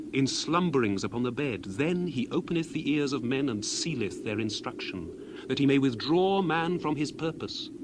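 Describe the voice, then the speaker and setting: A man's voice: a little high, noisy background, close to an everyday voice. A man playing an indignant, earnest young man in dramatized scripture, speaking in emphatic, oratorical archaic phrases. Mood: solemn.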